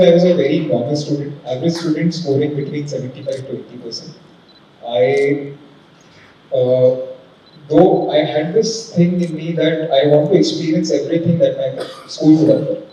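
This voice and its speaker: A man's voice: medium pitch, mellow. A man in his twenties, a guest speaker, giving a formal, earnest motivational talk. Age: 20s. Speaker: guest speaker, civil judge. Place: large conference hall. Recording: echoey hall, distant and dull sound, faint noise.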